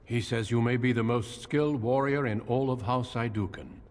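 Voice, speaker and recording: An actor playing a courteous, grave veteran warrior in a video game, speaking in a formal, measured way. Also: male voice, mid-range voice, fairly even intonation, darkish tone, lightly acted. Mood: courteous, earnest. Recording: reverberant hall, noisy game ambience.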